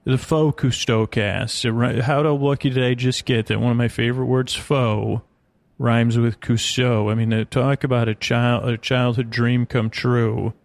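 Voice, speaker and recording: A man with a creaky voice hosting a bedtime podcast, meandering haltingly through lulling tangents. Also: medium pitch, fairly even intonation, dry recording. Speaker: podcast host. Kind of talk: rambling bedtime podcast monologue.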